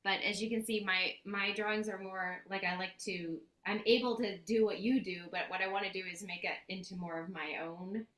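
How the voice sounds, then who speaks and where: A woman's voice: mid-range voice, fairly even intonation, moderate pace, hardly any pauses, fairly bright tone. A woman chatting on a video call about her sketchbook, rambling and correcting herself as she goes. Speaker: workshop participant. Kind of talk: casual sharing on a video call. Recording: echoey room on a call.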